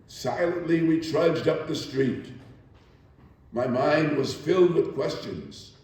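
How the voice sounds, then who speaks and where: A man giving a theatrical first-person dramatic reading, deliberate and emphatic with dramatic pauses, recorded far from the mic in an echoey room. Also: fairly high pitch, dark tone. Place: live performance in reverberant room.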